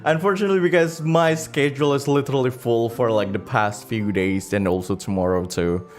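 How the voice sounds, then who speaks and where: A man hosting a livestream in casual chat, halting and rambling as he searches for words, with as his language. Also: medium pitch. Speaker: livestream host. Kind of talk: casual livestream chat, reading donations and comments. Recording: music playing behind the voice. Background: background music.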